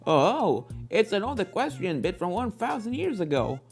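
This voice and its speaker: A woman's voice: mid-range voice, sing-song, moderate pace, darkish tone, soft background music, voice pushed up. A woman voicing an encouraging adult male ghost-hunter pony, a hearty cartoon mentor who talks instructively. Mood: explaining.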